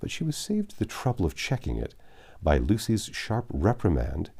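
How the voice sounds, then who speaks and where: A man's voice: deep voice, wildly swooping pitch, moderate pace, creaky, warm tone, husky. A man narrating a classic novel aloud as an audiobook reader.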